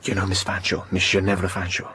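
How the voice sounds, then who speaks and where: An actor playing an earnest, lovesick young gentleman doctor in a radio drama, ardent and refined in manner. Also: deep voice, completely flat delivery, quick pace, a little hiss or hum.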